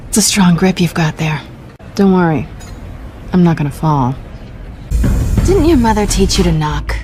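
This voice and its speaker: An actress playing a sly, tough, flirtatious cat burglar with a playful, sardonic and cocky delivery. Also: female voice, fairly low pitch, close to an everyday voice. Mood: playful, teasing. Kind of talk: scripted game or film acting. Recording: music under the voice.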